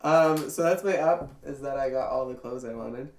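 A woman's voice: very deep voice, normal speed, darkish tone. A woman co-hosting a casual podcast, congested from a cold, tossing in dry joking asides. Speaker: podcast co-host. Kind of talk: casual chat. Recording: roomy echo, faint noise.

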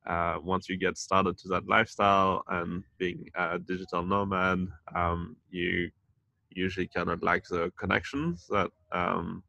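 A man in his thirties from France and Denmark, a community founder talking casually as a podcast guest, with many pauses and fillers. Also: low-pitched, steady tone, gravelly, bright, forceful tone, no room sound, lo-fi sound. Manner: hesitant, many fillers and restarts. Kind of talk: casual interview chat.

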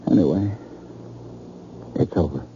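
Broken, despairing husband, very muffled tone. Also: male voice, low voice, dark tone. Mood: despairing, remorseful. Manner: halting, repetitive, defeated. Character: broken, guilt-ridden husband, haunted and despairing. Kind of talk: old-time radio drama acting. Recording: dull, hissy old radio recording.

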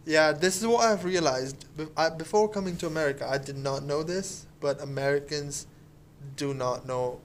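Young man, halting and hedging speech. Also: on the high side, a little hiss or hum. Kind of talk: casual chat.

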